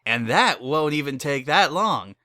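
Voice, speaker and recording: A man voicing a tipsy, breezy middle-aged pony mare who officiates weddings with brisk, casual patter in a dramatic story reading. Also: a little high, expressive, sharp tone, dry recording. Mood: breezy, businesslike.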